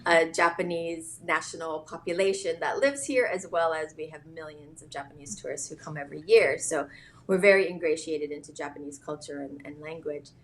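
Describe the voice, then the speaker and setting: A woman's voice: low voice, a little fast, a little hiss or hum. A woman from Hawaii, a business founder, talking as a guest in a casual interview, thoughtful and hesitant with many fillers.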